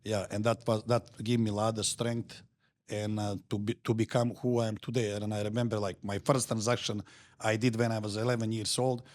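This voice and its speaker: A middle-aged man from Montenegro with a Montenegrin accent, talking as a guest in an interview in halting, repetitive, simple phrases. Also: lowish voice, level tone, darkish tone. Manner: halting, repeats words, simple grammar. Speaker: guest entrepreneur. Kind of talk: podcast interview.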